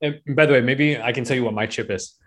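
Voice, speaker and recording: Man, late 30s, from Penang, investor guest, relaxed, filler-heavy casual talk. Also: medium pitch, quick pace. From Penang, Malaysia. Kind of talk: casual interview chat.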